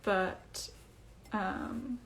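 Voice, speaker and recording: A young woman chats at home into her phone, reading out and answering viewers' comments. Her talk is loose and breezy, full of um's and like's, and her pitch sits right in the middle for a woman. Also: fairly even intonation, very slow, a bit bright, a little hiss or hum, poor audio. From Iceland. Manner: chatty and breezy, with many fillers. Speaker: singer hosting a livestream. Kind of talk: casual livestream chat answering comments. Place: at home, indoors.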